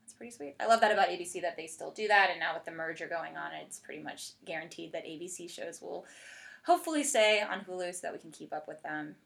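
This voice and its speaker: A woman's voice: lowish voice, lively intonation, fast talker, creaky, a bit bright. An American woman hosting a podcast, chatting casually and informally with plenty of "like". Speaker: podcast host.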